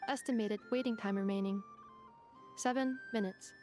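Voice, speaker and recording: A woman voicing a polite, precise young female robot assistant in a video game, speaking in a measured, formal, clinical way. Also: mid-range voice, fairly even intonation, warm tone, faint music behind, natural voice.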